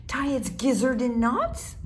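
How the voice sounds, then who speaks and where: A woman's voice: on the high side, huge pitch swings, unhurried, a little hiss or hum. A woman voicing an eager, patient female mosquito in a children's storybook, earnestly explaining her ideas. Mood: eager, hopeful.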